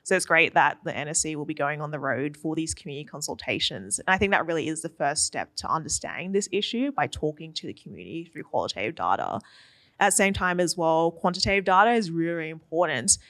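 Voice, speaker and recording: A woman's voice: low-pitched, expressive, fast talker, fairly bright tone, dry recording. Earnest Australian woman on a panel. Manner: earnest, articulate, uses fillers. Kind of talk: panel discussion answer.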